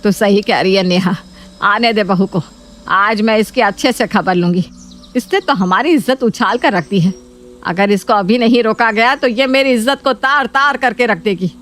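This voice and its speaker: A woman's voice: medium pitch, moderate pace, a bit bright, natural voice. A woman playing a stern, bossy middle-aged mother-in-law in a spoken story. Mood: angry. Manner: scolding, bossy.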